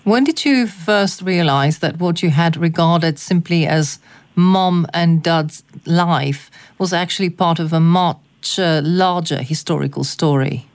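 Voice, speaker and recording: An interviewer with a mid-pitched voice poses thoughtful questions slowly and deliberately. The phrasing is careful and measured.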